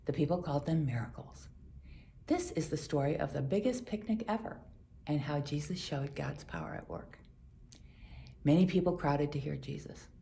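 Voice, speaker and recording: A woman reading a children's Bible story aloud in an expressive storytelling manner. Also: deep voice, normal speed, creaky, a little hiss or hum. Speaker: storybook reader.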